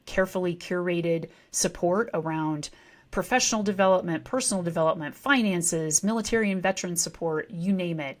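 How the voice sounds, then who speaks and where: A woman from rural Nebraska hosting a podcast, asking friendly questions with enthusiasm. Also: on the low side. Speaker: podcast host. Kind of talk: casual interview talk.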